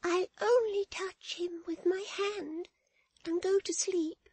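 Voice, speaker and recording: A woman's voice: very high-pitched, fairly even intonation, slow pace, a little mellow, no echo, voice pushed up, full character voice. A woman voicing a timid, lonely little girl who begs earnestly and tearfully, in a storybook reading. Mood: tearful pleading. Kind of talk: dramatized Victorian novel reading.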